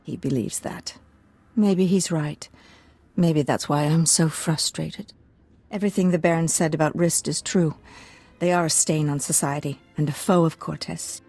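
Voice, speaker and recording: A woman's voice: sits low, steady tone, measured pace, warm tone, breathy edge, no room sound, faint music behind, full character voice. An actress playing a frustrated, persuasive noblewoman in a video game, speaking earnestly. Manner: earnest, urging. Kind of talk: dramatic video game acting.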